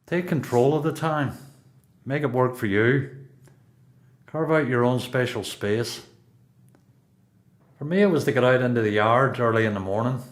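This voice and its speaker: A blunt, seasoned male prisoner , played by a man in a radio play. He has a Belfast accent and an average-pitched voice, handing out advice in short, instructive phrases. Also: moderate pace, pauses often, natural voice. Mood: encouraging. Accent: Belfast Northern Irish.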